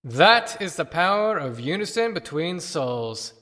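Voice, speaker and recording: A man playing a serene, long-married lover after death in a lyrical, measured audio-play monologue. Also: a little high, very animated, bright, forceful tone, heavily acted. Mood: tender, serene. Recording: long cavernous reverb.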